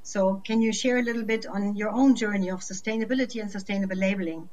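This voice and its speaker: A woman's voice: mid-range voice, fairly even intonation, fast talker, a little mellow, poor audio. A young woman hosting a corporate webinar over a video call, asking friendly, scripted questions. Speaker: webinar host.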